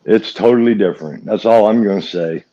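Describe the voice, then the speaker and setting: A man's voice: fairly low pitch, brisk, mellow, highs cut off. Folksy man in casual, opinionated chat. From West Virginia, United States. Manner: folksy, repeats himself for emphasis. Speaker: co-host of a live true-crime chat show.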